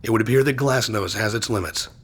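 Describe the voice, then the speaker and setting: An actor playing a gruff, terse male agent hero in a video game cutscene, with a husky, low-key delivery. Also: quick pace. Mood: grim.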